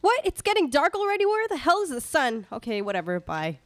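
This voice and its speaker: A woman's voice: high-pitched, wildly swooping pitch, a little fast. A young woman playing a sardonic, exasperated teenage vampire hunter in a student radio play. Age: young adult. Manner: casual, sardonic. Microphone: microphone on stand. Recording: clear background noise, clicks.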